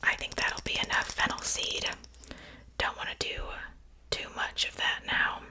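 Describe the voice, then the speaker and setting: A performer voicing a chatty witch in a soft, breathy ASMR whisper, gossipy and casually menacing in a roleplay. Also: unhurried, natural voice. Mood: calm, matter-of-fact. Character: gossipy witch with fae blood, cooking her guest.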